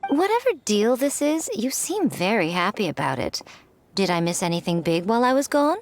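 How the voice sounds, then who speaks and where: An elegant, composed woman from a video game. She teases smoothly in a lively, lilting mid-range voice, with loud noise behind her. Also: mid-range voice, a little fast. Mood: amused.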